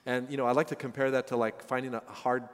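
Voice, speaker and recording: A man hosting a church service interview, telling stories in a chatty, animated way with lots of fillers. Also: mid-range voice, fast, big-room sound, noisy background. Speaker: pastor interviewing a guest. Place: church sanctuary with congregation.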